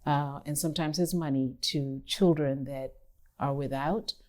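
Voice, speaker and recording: Low-voiced, mellow woman artist. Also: fairly even intonation. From Kenya. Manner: thoughtful, measured, with pauses and restarts. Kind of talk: reflective interview talk.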